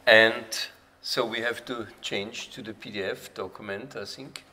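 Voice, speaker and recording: A man's voice: lowish voice, steady tone, measured pace, sharp tone. A man hosting a live talk event, introducing presenters in a hesitant way as he searches for words. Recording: noisy live event room.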